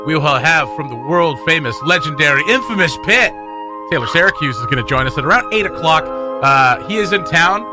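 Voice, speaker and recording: A man's voice: a little high, animated, a little fast, over music. A man hosting a morning radio show with casual, joking, rambling banter and announcements. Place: radio studio.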